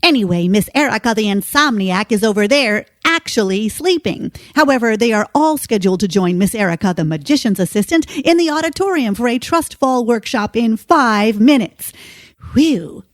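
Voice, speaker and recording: Breezy, high-voiced receptionist played by a woman. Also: expressive, moderate pace, no room sound, clean studio sound, lightly acted. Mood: breezy, chatty. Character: chatty, tactless, breezy receptionist. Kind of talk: comedy audio drama acting.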